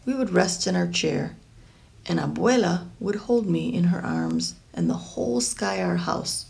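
Creaky-voiced woman reading a picture book. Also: fairly low pitch, sing-song, unhurried, a little mellow. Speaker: storybook reader.

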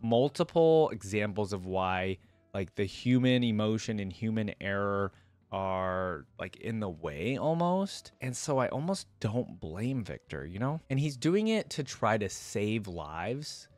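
A young man hosting a reaction video, reading lore aloud and adding casual, expressive commentary into a close desk microphone. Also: fairly low pitch, measured pace, no room sound, soft background music. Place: indoor desk setup at home.

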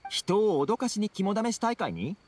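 A man's voice: high voice, very animated, normal speed. An actor playing a friendly, easygoing young man in a Japanese fantasy anime game. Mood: relaxed, playful. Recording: background music behind dialogue.

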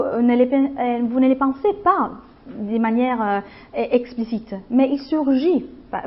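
A woman's voice: a little high, lo-fi sound. A woman with an Italian accent giving a university lecture in a hesitant way, full of fillers and restarts, often asking if the students follow. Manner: hesitant, many fillers and restarts, checks understanding. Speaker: guest lecturer.

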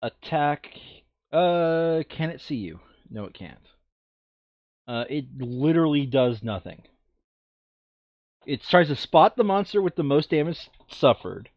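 A man's voice: fairly high pitch, very animated, measured pace, pauses often, dry recording, highs cut off. A man playing a board game, talking casually as he reads out rules and tallies. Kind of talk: casual tabletop game chat.

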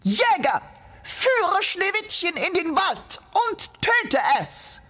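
Shrill, vain wicked queen. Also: sing-song, bright, forceful tone, soft background music, heavily acted. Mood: imperious, angry. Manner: theatrical, drawn-out delivery. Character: vain, wicked, commanding fairy-tale queen. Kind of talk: children's radio fairy-tale play.

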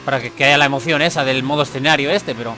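Fast-talking man commenting on gameplay. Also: medium pitch, music in the background. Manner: swearing, exasperated, chatty. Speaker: gaming YouTuber.

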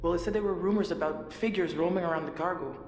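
An actress playing a wary young woman on a ghost ship, speaking in an uneasy, hushed way in a video game. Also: on the low side, fast, natural voice. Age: young adult. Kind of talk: English game cutscene dialogue. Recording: reverberant hold with ambient noise.

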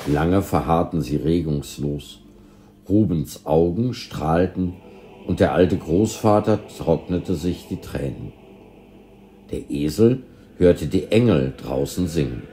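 Deep-voiced man reading a story slowly. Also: warm tone, a little hiss or hum. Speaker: volunteer reader of an audio story. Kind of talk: scripted storybook narration.

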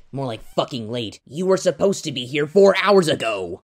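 A man's voice: on the high side, sing-song, a little slow, full character voice. A man voicing a snarky, pedantic demon imp in a cartoon audio drama, on a noisy microphone. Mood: snide. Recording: very noisy mic with clicks.

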